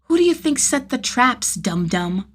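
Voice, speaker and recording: A woman's voice: a little high, big pitch swings, unhurried, pitched above the performer's own voice. A suspicious little boy as acted by a woman reader speaks in a lifted, prim voice. He is pointed and bossy, standing his ground in an audiobook story. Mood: defiant, bossy.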